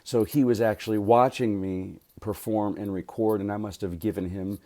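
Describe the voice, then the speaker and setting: A man's voice: on the low side, steady tone, normal speed, dark tone. A man, a voice actor, talking casually to his viewers in a rambling way, with many fillers and restarts. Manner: grateful, chatty. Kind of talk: casual vlog talk to camera.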